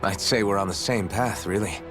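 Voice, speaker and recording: An actor playing an earnest, idealistic young freedom fighter in a video game, with a sincere, direct way of talking. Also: male voice, fairly low pitch, normal speed, natural voice. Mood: persuasive. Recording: game dialogue over music.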